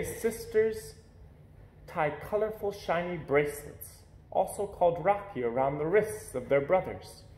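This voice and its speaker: A man with a slightly high voice reads a picture book aloud. He narrates expressively for children, and the room gives his voice a clear echo. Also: a little mellow.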